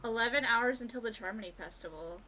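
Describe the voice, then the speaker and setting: Excitable high-pitched woman streamer. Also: quick pace, rough recording. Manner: excitable, sweary, exclamatory. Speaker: streamer reacting to a game. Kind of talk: casual live commentary. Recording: game music behind.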